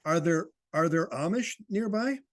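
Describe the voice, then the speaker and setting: A man's voice: a little high, animated, moderate pace, no echo. Conversational man interviewing in close, dry sound. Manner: encouraging, jokey, teasing.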